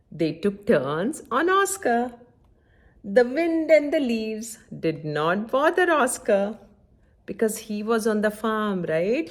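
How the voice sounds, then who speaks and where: A woman reading a picture book aloud to young children, adding simple chatty explanations and checking in with her listeners. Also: on the high side. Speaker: children's story-time reader and host.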